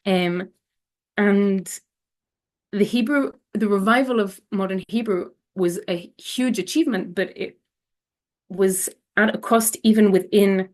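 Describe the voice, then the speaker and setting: A woman's voice: mid-range voice, unhurried, darkish tone. A young woman from Israel giving a slide presentation, hesitant and full of fillers and restarts.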